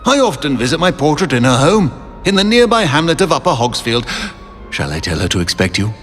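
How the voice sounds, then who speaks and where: A man's voice: a little high, big pitch swings, a little fast, a bit bright. An actor playing a pompous, courtly, nostalgic old British statesman living on as a portrait in a video game. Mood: grand, courteous. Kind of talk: video game character acting. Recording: long reverberant hall, music underneath.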